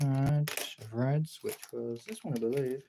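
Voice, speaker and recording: A man's voice: mid-range voice, measured pace, gravelly, heavy background noise. A man talking in an informal, rambling way, thinking aloud as he gives a casual art demonstration as a streamer. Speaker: streamer, artist. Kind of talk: casual chat while demonstrating drawing.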